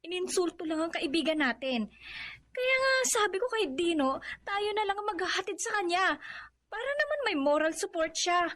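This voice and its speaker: A young Filipina in a radio drama, very high in pitch. She is a caring, loyal friend who speaks earnestly and with encouragement. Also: expressive, normal speed, fairly bright tone. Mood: concerned.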